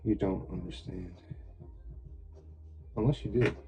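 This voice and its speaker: A man's voice: deep voice, takes it slowly, gravelly, warm tone. Stuffy-voiced man muttering over a build. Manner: mutters to himself while working. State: stuffy from a cold. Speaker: hobbyist building a model truck. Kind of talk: casual build vlog talk.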